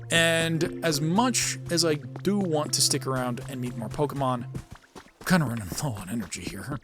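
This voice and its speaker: A man giving casual let's-play commentary as host, rambling and thinking aloud. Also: medium pitch. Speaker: gaming video host.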